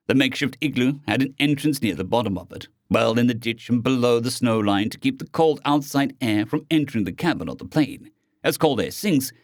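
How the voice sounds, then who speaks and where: A man's voice: medium pitch, no echo, studio quality. A man reading a scripted science fiction story as its narrator.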